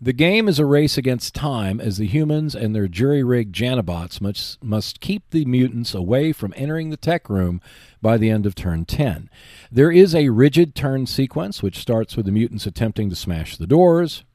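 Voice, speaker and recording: A man's voice: medium pitch, animated, normal speed, dark tone, no room sound. Guy doing a hobby podcast monologue, voice goes up and down a lot, dry close sound. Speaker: podcast host. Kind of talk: prepared podcast monologue about board games.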